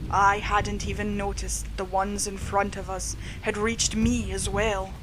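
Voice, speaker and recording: Slow-paced woman narrating horror over noise. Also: mid-range voice, little pitch movement. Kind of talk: scripted dramatic horror narration. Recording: clear background noise, ambience.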